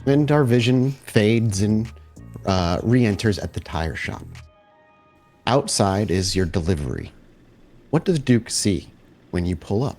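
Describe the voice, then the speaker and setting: Low-voiced man narrating as game master. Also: very animated, slow pace, long pauses, a little mellow, soft background music.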